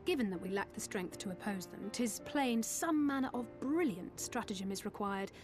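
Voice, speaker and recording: A woman's voice: medium pitch, animated, moderate pace, over music, close to an everyday voice. A woman playing a young, articulate adventurer of noble bearing in a fantasy game, confident, formal and dryly pointed. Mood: brisk, dry.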